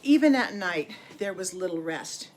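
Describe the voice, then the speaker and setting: Expressive woman reading a children's story. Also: on the low side, moderate pace, faint music behind, rough recording. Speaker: storybook reader. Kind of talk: scripted children's story narration.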